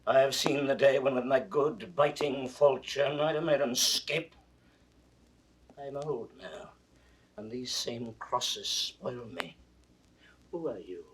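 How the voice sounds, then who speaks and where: Man acting anguished old king, slow and distant. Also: medium pitch, natural voice. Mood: weary, confused. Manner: slow declamation, swinging between anguished cries and broken whispers. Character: frail grief-maddened old king, imperious, failing. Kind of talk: 1960s recorded Shakespeare drama, classical stage acting. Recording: distant, noisy vintage drama recording.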